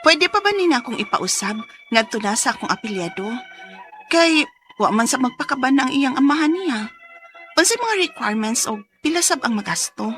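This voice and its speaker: Casting: wronged young Cebuano single mom, radio drama, bright and forceful, emotional, accusing, desperate. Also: female voice, high-pitched, big pitch swings, moderate pace, faint music behind. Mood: troubled, pleading. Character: aggrieved young single mother, hot-tempered, desperate. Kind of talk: Filipino radio drama acting.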